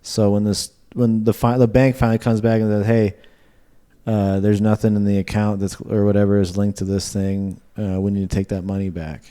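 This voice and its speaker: A man's voice: lowish voice, little pitch movement, moderate pace, dark tone, clean tone. A man co-hosting a podcast, chatting in a casual, informal and laid-back way. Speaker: podcast co-host. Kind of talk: casual chat.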